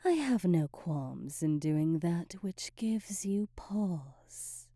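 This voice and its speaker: A woman acts out a silky, scheming sorceress from a fantasy ASMR roleplay, with a low, very soft and dark voice. She speaks slowly, teasing and insinuating in ornate courtly phrases. The sound is close and dry. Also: animated, no echo, exaggerated voice. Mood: coaxing, possessive. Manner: theatrical, teasing, insinuating, ornate formal diction. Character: sly, silky, manipulative female sorceress advisor to a queen.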